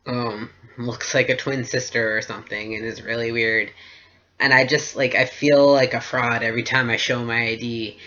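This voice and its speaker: A man's voice: mid-range voice, flat delivery, moderate pace, bright, forceful tone. A young man talking through a personal video diary in a hesitant, reflective way. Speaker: vlogger. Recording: dull lo-fi recording.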